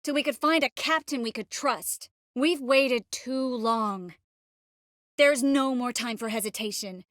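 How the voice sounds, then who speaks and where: Earnest young sorceress, close dry sound. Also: female voice, on the high side, a little slow, fairly bright tone, no echo. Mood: resolute. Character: earnest, tender, quick-witted young sorceress. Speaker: video game voice actor. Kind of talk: dramatic fantasy game dialogue.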